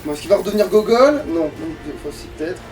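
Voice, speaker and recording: Fast-talking, exasperated gamer, far from mic. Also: medium pitch, lively intonation, darkish tone, soft background music. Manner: exasperated, foul-mouthed grumbling. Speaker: let's play gamer. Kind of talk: casual, sweary gameplay commentary.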